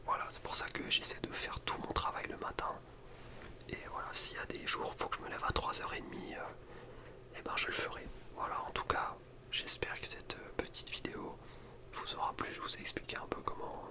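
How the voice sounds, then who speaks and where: A young man speaks entirely in a soft, breathy whisper. He chats informally in slangy, rambling phrases, in the manner of an ASMR video creator talking to viewers. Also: brisk. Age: young adult. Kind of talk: whispered ASMR chat about personal routine.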